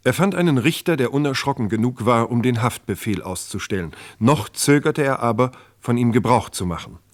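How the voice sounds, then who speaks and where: A man's voice: medium pitch, lively intonation, a little fast, dry recording, clean studio sound. A man narrating a true-crime radio play from a script.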